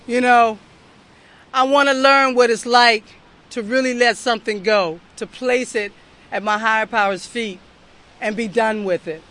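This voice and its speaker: Woman, young, heartfelt, addresses the crowd, bright and forceful tone. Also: fairly high pitch, steady tone, measured pace. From southern United States. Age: young adult. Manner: heartfelt and earnest, speaks straight to the crowd. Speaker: speaker at a recovery meeting. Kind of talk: personal testimony to an audience.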